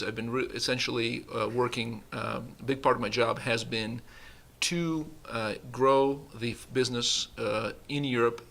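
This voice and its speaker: A man's voice: mid-range voice, creaky, sharp tone. A man in his forties, a company vice president, speaking earnestly on a conference panel with an uneven pace and some restarts. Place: conference room.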